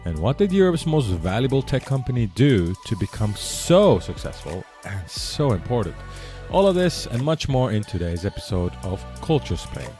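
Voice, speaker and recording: A man's voice: mid-range voice, big pitch swings, moderate pace, mellow, soft background music. A man born in Iran and raised speaking Persian, hosting a solo podcast, explaining earnestly with frequent self-corrections. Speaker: podcast host.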